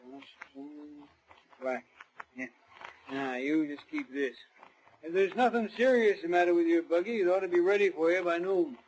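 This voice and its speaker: An actor playing a shifty, folksy garage mechanic in a 1940s radio crime drama, speaking informally and hesitantly. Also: male voice, fairly high pitch, moderate pace, darkish tone, a little hiss or hum, natural voice, poor audio. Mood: guarded. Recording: vintage 1940s radio recording.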